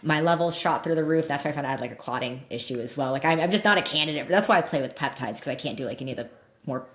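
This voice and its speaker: A woman with a slightly deep voice, speaking as an expert guest in a candid, informal, emphatic way, explaining things in an interview conversation. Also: rapid-fire. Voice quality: slightly deep voice that cracks when loud. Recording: roomy echo.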